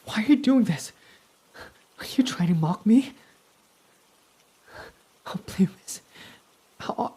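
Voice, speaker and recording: A young man playing a guarded male villain who confesses his love, speaking softly and often sinking to a whisper in a romantic roleplay. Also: fairly high pitch, slightly rough. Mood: flustered. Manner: soft-spoken, often dropping to a whisper. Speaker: ASMR roleplay voice actor.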